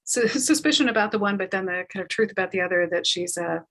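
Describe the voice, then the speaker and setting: A woman moderating a live panel discussion, speaking in a halting, thoughtful way that circles around her phrasing. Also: mid-range voice, fairly bright tone. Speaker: talkback moderator.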